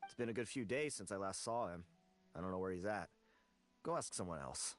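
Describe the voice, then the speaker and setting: A man's voice: low-pitched, animated, a little fast, music in the background. A man playing a grudging, dismissive researcher in a video game, curt and sardonic. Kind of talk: anime-style video game voice acting.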